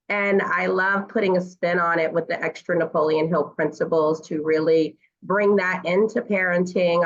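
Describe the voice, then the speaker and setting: A woman's voice: lowish voice, fairly bright tone, muffled recording. A woman speaking as a guest parent coach in a conversational interview, earnest and encouraging, with fillers.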